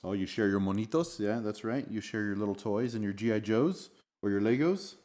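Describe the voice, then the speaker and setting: A man's voice: on the low side, a little fast, a little mellow. A man reading a storybook aloud and chatting with children as their father, with an encouraging, patient manner.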